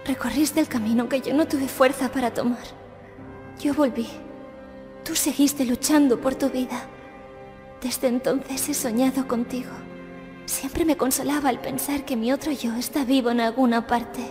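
Anime dub role: sorrowful, resolute young assassin girl played by a woman, highish voice, long reverb. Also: fairly even intonation, natural voice. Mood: tender sorrow. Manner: quiet, solemn, measured. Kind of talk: anime fan dub acting. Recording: long reverb under background music.

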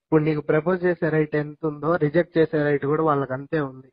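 A man's voice: a little high, flat delivery, quick pace. A young man speaks in a casual, insistent way, as if advising a friend. The sound is a dull, lo-fi recording of a phone call.

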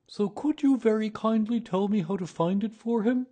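A man voicing a small, humble, slow-witted bear from a children's storybook, speaking simply and earnestly. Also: sits high, mellow, pitched above the performer's own voice. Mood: glum, pleading.

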